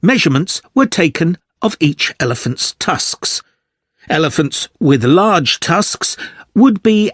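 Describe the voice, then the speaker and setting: A man reads a scripted academic lecture in a fairly high voice with lively intonation. He articulates carefully and takes his time, and the recording is very dry and close. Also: breathy edge, no room sound. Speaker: lecturer in a listening exam recording.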